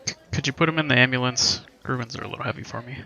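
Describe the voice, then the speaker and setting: A man's voice: mid-range voice, rapid-fire, vocal fry, sharp tone, exaggerated voice, poor audio. A man playing a timid, polite medic in an audio drama, hesitant and apologetic.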